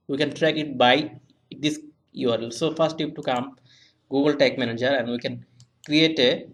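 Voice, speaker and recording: Male tutorial presenter, young adult, mid-range voice, halting non-native English, repeats himself through simple instructions. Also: mid-range voice. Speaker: web analytics tutorial presenter. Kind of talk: step-by-step software tutorial narration.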